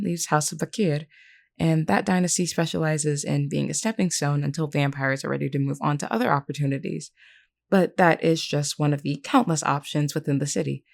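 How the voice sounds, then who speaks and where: A low-voiced vampire queen played by a woman, composed and solemn, with a gravelly fry in her tone. She speaks formally and earnestly, like a caring leader in an audio drama, reassuring and measured. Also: darkish tone. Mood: formal, welcoming. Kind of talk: scripted character roleplay audio.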